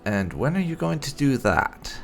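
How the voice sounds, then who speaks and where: Male gaming host, gravelly fry, lively intonation, wry and sarcastic, casual playthrough commentary, game music behind. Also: mid-range voice, moderate pace.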